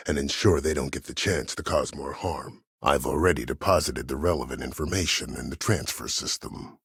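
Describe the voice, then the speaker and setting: An older man acting a video game role: a cunning, proud old disgraced admiral who speaks in a measured, formal, husky voice with quiet menace. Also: dry recording, studio quality, heavily acted. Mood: cold, resolute. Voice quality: husky, very deep. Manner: measured, formal, self-assured. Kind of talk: video game character dialogue.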